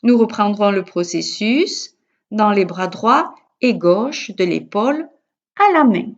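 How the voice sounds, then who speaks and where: A woman yoga teacher guiding a relaxation session in a calm, measured, soothing manner with pauses. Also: mid-range voice, dull audio. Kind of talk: guided yoga nidra relaxation instruction.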